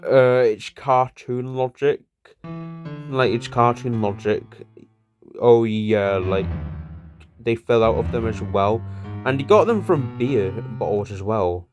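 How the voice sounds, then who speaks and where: A man's voice: medium pitch, slow, muffled recording, music in the background, close to an everyday voice. A man voicing a bickering, sweary cartoon buddy who reminisces in a rambling, repetitive, conversational way. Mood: bickering, recounting. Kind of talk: casual improvised-sounding cartoon dialogue.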